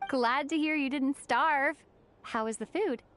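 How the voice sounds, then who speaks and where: High-voiced friendly young adventurer. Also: female voice, a little slow, a bit bright, slight background noise. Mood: reassuring, easygoing. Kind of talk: anime-style video game voice acting.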